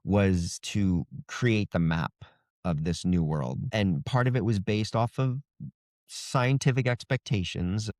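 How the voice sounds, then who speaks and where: Lowish-voiced man, casual and wry. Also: takes it slowly, a little mellow, no echo, studio quality. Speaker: fantasy author being interviewed. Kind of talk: casual, explanatory conversation.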